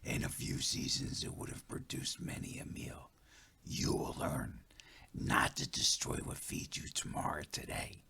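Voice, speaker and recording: A man voicing a calm, stern male forest guide spirit in a storytelling piece, speaking slowly and solemnly in a hushed, whispery voice. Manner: slow, solemn, measured.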